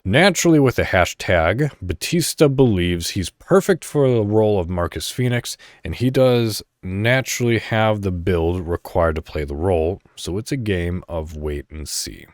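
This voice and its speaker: A man with a fairly low voice reads written news copy aloud in the manner of a solo podcast host. The delivery is casual, with small stumbles that he corrects as he goes, and the sound is dry and close. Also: lively intonation, moderate pace, hardly any pauses, no room sound. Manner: stumbles over words and corrects himself. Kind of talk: reading news articles aloud with casual commentary.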